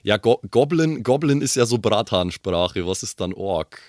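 A man's voice: on the low side, animated, normal speed, dry recording, studio quality. A man with a southern German or Austrian accent, chatting casually as a player in an improvised role-playing game.

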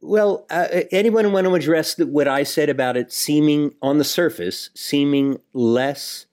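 A man with an American accent talking reflectively in measured phrases as a co-host on a casual podcast. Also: fairly high pitch, a little mellow.

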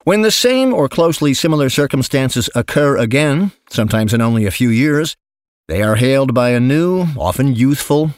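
A man reading an essay aloud as scripted narration, measured and articulate, with emphatic phrasing. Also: mid-range voice, no echo, clean studio sound. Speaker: narrator reading an investment memo.

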